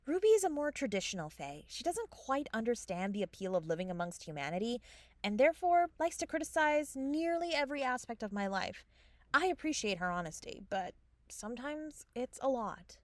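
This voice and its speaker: Woman as a high, animated sarcastic young fairy. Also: a little fast, no echo. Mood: strained, polite. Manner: dramatic, sardonic. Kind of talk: comedy audio drama, mockumentary asides.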